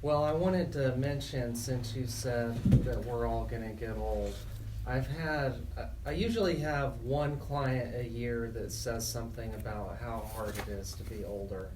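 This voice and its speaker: A man's voice: medium pitch, measured pace. An American man chatting casually as the host of a radio interview. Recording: roomy, distant mic with faint noise.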